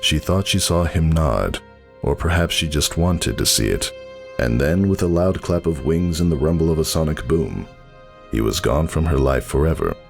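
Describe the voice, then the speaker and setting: A man gives a dramatic, solemn story narration. His voice is extremely deep with a gravelly creak, and it moves theatrically through the scripted prose. Quiet music plays behind him. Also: lively intonation, moderate pace.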